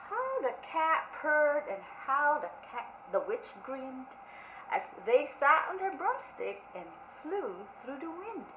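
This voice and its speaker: Slow, high-pitched woman hosting children's storytime. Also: very animated, sharp tone, a little hiss or hum. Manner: simple, repetitive prompting addressed to young children. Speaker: library storytime host. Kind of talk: children's read-aloud storytime. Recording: roomy echo with faint music.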